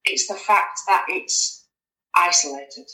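A woman's voice: lowish voice, takes it slowly, pushed and bright, echoey room, poor audio. A woman, a guest on a podcast, chatting casually with lots of fillers and restarts. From West Yorkshire, England. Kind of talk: casual conversational interview.

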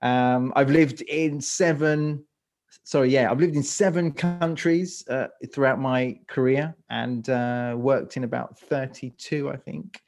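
A man's voice: mid-range voice, steady tone, a little slow, vocal fry, darkish tone. A man with a London accent, a speaker coach answering interview questions, often restarting and repeating words as he thinks. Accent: London English. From England.

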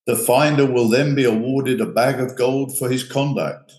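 A man's voice: medium pitch, fairly even intonation, normal speed, a little mellow, natural voice. Echoing, solemn proclamation read out by a man. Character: formal official proclamation of safe passage. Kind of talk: formal read-out of a wartime document. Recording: roomy, echoing voice.

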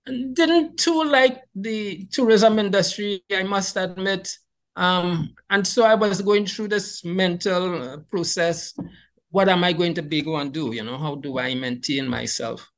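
A middle-aged man from Trinidad and Tobago with a Trinidadian accent, telling a warm, animated life story as a podcast guest. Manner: animated, rises in pitch when excited. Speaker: guest beekeeper. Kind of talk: storytelling conversation.

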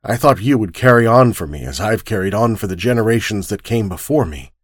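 Older obsessive man, intense and desperate, voiced by a male narrator, lively swings, dry sound. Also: medium pitch, unhurried, husky, no room sound, full character voice. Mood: intense pleading.

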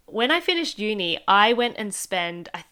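A woman's voice: mid-range voice, very animated, normal speed, bright, forceful tone, clean tone. A woman in her thirties with an Australian accent, hosting a casual solo business podcast and chatting upbeat and directly to the listener.

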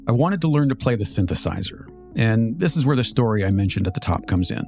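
A man in his fifties with an American accent, telling a scripted personal story as a podcast host in a measured, reflective, articulate way. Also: mid-range voice, lively intonation, gravelly, darkish tone. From Southern California, United States. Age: 50s. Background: music.

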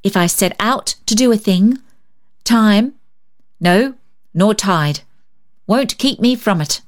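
A woman reader voicing a proud, lonely spinster in a storybook, awkward and guarded, with a softer heart underneath. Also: medium pitch, animated, slow pace. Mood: determined.

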